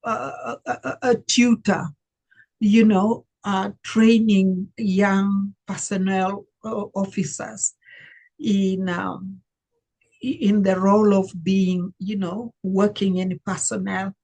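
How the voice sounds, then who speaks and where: An older woman from Uganda recounts her life as an interview guest. She talks slowly and reflectively, pausing to search for words. Her voice is somewhat husky, at a middle pitch. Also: little pitch movement, darkish tone. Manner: unhurried, reflective, with many fillers and searching pauses. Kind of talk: conversational interview storytelling.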